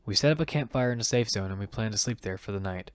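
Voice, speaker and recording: A man's voice: on the low side, quick pace, smooth, dry recording, natural voice. A man playing a blunt young survivor of a deadly battle game, narrating his own story in an audio drama. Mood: grave narrating. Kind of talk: first-person narration in an audio fiction. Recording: music loud behind the voice.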